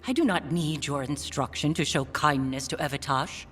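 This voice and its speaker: Woman doing strict scholar mom from a video game, formal n critical, very measured, music behind. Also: low-pitched, natural voice. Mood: cool, stern. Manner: formal, measured, clipped diction without warmth. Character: stern, proud middle-aged scholar mother, critical and restrained. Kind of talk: video game dialogue acting.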